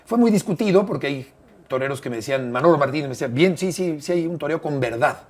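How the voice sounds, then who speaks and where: An older man with a Mexican accent, telling stories as an interview guest in a measured way, often pausing on "eh" and repeating phrases. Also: a little high, lively intonation. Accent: Mexican Spanish. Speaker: interview guest, broadcaster and bullfight writer. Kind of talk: relaxed conversational storytelling.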